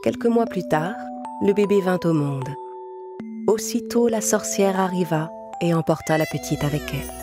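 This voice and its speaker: A woman's voice: on the low side, expressive, moderate pace. A woman reading a scripted fairy tale as a storyteller. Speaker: narrator. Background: music.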